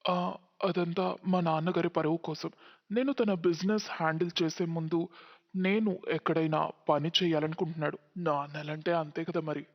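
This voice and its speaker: Man acting a high-pitched scheming young cartoon man. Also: steady tone, moderate pace, in a large hall, muffled recording, close to an everyday voice. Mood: smooth deceit. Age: young adult. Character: greedy, cunning young man who lies to women. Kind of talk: cartoon moral story acting.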